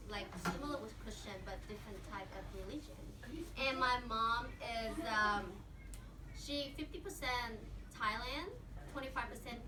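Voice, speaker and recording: A woman teacher whose first language is Vietnamese, from the Vietnam–Cambodia border area, telling a class about herself in slow, halting English with many ums. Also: on the high side. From Vietnam–Cambodia border region. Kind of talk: classroom presentation to students. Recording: echoey classroom, recorded from far off, noisy.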